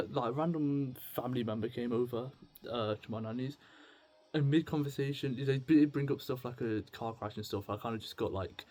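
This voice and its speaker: A young British man talking casually to camera in a confessional vlog, rambling, halting and self-deprecating. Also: fairly low pitch, darkish tone. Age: young adult. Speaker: video blogger. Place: indoors at home with music on in the background.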